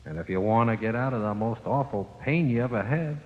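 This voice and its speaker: An actor playing the sinister, coaxing ghost of a convict, slangy and matter-of-fact, in 1940s radio drama with a reverb effect. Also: male voice, mid-range voice, fairly quick. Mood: coaxing, menacing. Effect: long ghostly reverb. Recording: very muffled old radio, long reverb.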